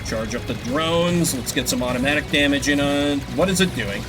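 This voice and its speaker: A young man streaming a video game, giving excitable commentary and swearing casually, over game music. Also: fairly high pitch, normal speed, background noise. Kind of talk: gameplay commentary.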